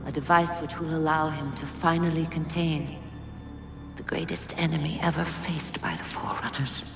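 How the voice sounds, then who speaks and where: A woman voicing a solemn, wise, ancient alien spirit in a video game, stately and formal. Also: sits low. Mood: ominous. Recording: clear music behind.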